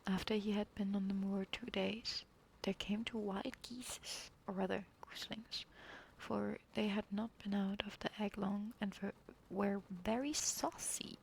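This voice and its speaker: A woman reads an old fairy tale in a hushed, unvoiced whisper, with a gravelly edge. Her pitch sits in the middle range. A faint hiss of noise stays under her voice throughout. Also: steady tone, measured pace, poor audio. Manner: soft whisper, stops to apologize and laugh. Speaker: reader. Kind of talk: whispered storybook reading.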